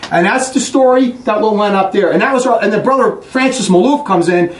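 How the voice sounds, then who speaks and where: Fast, blunt high-voiced man preaching. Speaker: religious preacher. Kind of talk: impassioned religious talk to a group. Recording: echoey room, distant mic, faint noise.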